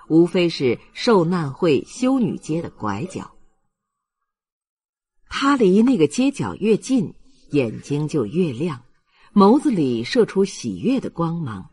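A man narrating a literary novel as an expressive audiobook reader. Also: a little high, slightly rough.

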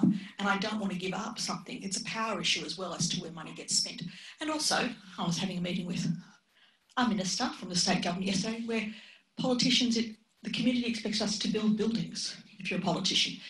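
A woman's voice: medium pitch, fairly bright tone, recorded from a distance. A middle-aged woman, a public health physician, giving a frank, chatty, self-deprecating conference lecture. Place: lecture hall with projected slides. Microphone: lectern microphone, often far from it. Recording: echoey hall, speaker off-mic, faint noise.

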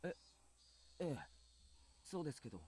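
A man's voice: a little high, big pitch swings, fast talker, warm tone, slightly rough, exaggerated voice. An actor playing an earnest, inquisitive teenage boy in a Japanese visual novel, asking questions in a puzzled way. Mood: puzzled, concerned.

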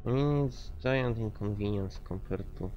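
Guy w lowish voice thinking out loud while gaming, swears a lot, kinda muffled audio, faint music behind. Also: normal speed. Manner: muttering, thinking aloud, swears freely. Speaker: gamer commentating own gameplay. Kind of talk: casual gameplay commentary.

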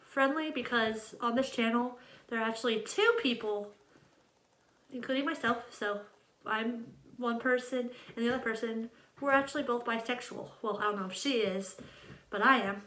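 Young woman, American accent, fairly high bright voice, casual rambling vlog, echoey home room. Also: steady tone, normal speed. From Ohio, United States. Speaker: channel host introducing herself. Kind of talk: casual vlog chat to camera. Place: small room at home, indoors.

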